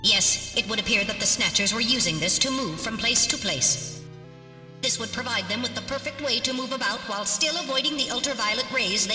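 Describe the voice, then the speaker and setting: A woman's voice: mid-range voice, recorded from a distance, natural voice. A woman playing a small, polite robot navigator in an adventure game, earnest and formal, with precise phrasing. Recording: echoey hall-like reverb, music behind.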